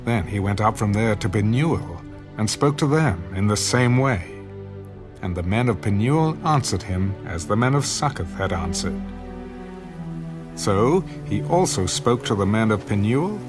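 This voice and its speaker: Low-voiced male scripture narrator over music. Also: expressive, unhurried. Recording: loud background music.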